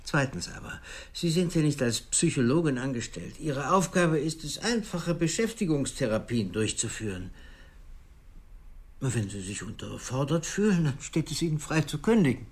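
An actor playing a formal, coolly authoritative institution director in a radio drama, measured and firm, with a husky voice. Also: male voice, on the high side, sing-song, full character voice. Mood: stern, formal.

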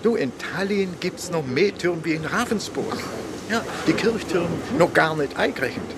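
Animated police inspector. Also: male voice, on the high side, normal speed, heavy background noise. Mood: enthusiastic. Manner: colloquial southern German turns of phrase. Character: provincial German police inspector, homely, good-humoured, tender. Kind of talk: German radio drama dialogue.

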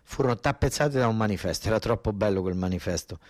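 A man with a southern Italian accent from Basilicata delivers a theatrical first-person monologue, colloquial and dialect-tinged, with repeated phrases and dramatic pauses. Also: lowish voice, darkish tone, no echo, clean studio sound. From southern Italy. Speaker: stage storyteller and monologue actor.

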